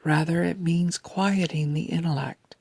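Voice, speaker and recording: A woman leads a guided meditation in a low, hushed voice full of breath. She speaks very slowly and calmly, placing each phrase with care. The sound is close and dry, with a soothing, deliberate delivery. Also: dry recording. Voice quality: soft, breathy, near-whispered. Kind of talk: slow scripted guided meditation narration.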